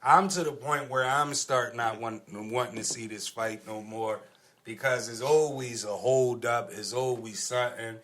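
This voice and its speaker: A man's voice: mid-range voice, moderate pace, a bit bright. An American man hosting a podcast, talking casually about sports. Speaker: podcast host.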